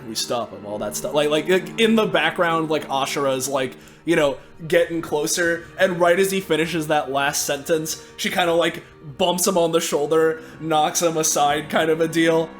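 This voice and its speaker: Male roleplayer, slightly higher pitch, colloquial, loose and rambling descriptions of his character. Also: fairly bright tone. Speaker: tabletop game player.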